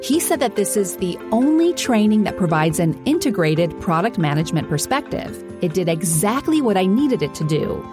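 Lively woman reading an ad over music. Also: mid-range voice, moderate pace, few pauses. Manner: smooth, persuasive promo delivery. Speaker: ad announcer. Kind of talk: scripted ad read.

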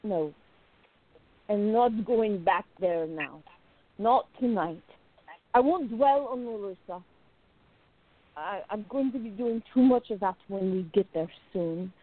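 A woman playing a jealous, besotted young woman confiding in her diary in a radio play, intimate, expressive and musing. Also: medium pitch, slow, pauses often. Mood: curious, musing. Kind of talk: dramatic first-person diary narration in a radio play. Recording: narrow phone-like lo-fi sound.

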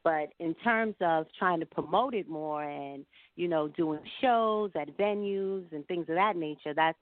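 Woman singer chatting in an interview, lowish voice, kinda reflective, sounds like a phone call. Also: measured pace, no echo. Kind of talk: conversational interview answers. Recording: narrow-band, phone-like line.

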